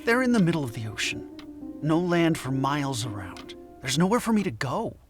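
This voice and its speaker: Haunted, guarded professor, soft music behind. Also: male voice, fairly high pitch, big pitch swings. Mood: defensive. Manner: hesitant, halting, defensive. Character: troubled forty-year-old male anthropologist professor, guarded, haunted. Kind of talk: audio drama acting.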